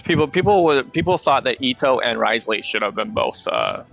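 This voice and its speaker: Gravelly male streamer explaining haltingly. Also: medium pitch, lively intonation, fairly bright tone. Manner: halting, self-correcting explanations. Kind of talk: casual gameplay commentary and chat. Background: video game music.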